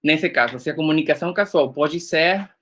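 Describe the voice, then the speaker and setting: Nicaraguan man lecturing, bright voice. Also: a little high, a little fast. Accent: Nicaraguan Spanish. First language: Spanish. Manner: casual, joking, many fillers like "né" and "é". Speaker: graphic designer teaching a workshop. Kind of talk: online workshop lecture.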